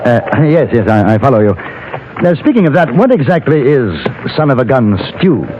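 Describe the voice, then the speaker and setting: Actor as a polite frontier chronicler, lively intonation. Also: male voice, medium pitch, natural voice. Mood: polite, curious. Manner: measured, polite, precise, dryly amused. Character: polite, educated eastern greenhorn chronicler of the frontier. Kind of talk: old radio drama narration. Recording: muffled, very noisy old radio.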